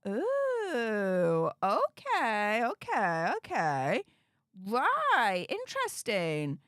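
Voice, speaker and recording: A woman's voice: on the high side, bright, forceful tone, no echo, clean studio sound. A woman with a Yorkshire accent, hosting a casual livestream and chatting as she reads out viewer comments. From Yorkshire, England. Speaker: YouTube host reading live chat.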